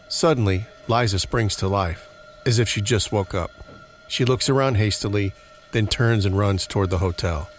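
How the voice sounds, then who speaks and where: Low-voiced male drama narrator over music. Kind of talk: scripted narration of a horror mystery audio drama.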